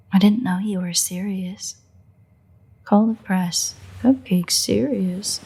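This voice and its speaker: A woman playing a sardonic, teasing female vampire in a roleplay audio drama, with a husky, breathy, gravelly voice and a sarcastic, exasperated manner. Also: mid-range voice, darkish tone, full character voice. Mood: mocking, defiant. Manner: sarcastic, mocking, swearing, dry exasperation. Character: sardonic female vampire, teasing, crude, grudging toward a hunter. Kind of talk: roleplay audio drama, intimate monologue to the listener.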